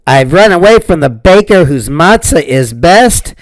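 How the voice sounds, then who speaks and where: A man's voice: on the high side, sing-song, unhurried. A man voicing a cheeky, boastful little storybook matzah man who taunts in sing-song rhymes.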